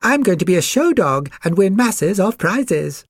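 A man's voice: high voice, sing-song, measured pace, no room sound, clean studio sound, pitched above the performer's own voice, full character voice. A man voicing a vain, prissy, boastful poodle puppy in a storybook, haughty and dainty. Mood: smug, disdainful.

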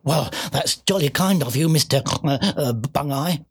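A woman's voice: low voice, measured pace, breathy edge, exaggerated voice. A woman voicing an innocent young male piglet in a children's story, courteous, hesitant and earnest, with well-bred turns of phrase. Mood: grateful, earnest.

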